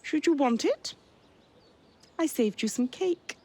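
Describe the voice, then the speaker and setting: A woman's voice: high-pitched, expressive, slow pace, mellow, a little hiss or hum. A woman playing an imperious elderly queen in a video game, speaking formally and with command. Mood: measured, gracious. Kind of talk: video game cutscene acting.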